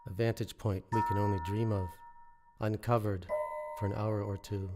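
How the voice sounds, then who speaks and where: A man reciting his own poetry in a measured, deliberate way, pausing between phrases. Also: fairly low pitch, flat delivery, loud music behind the voice. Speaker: poet reading own work. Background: musical score.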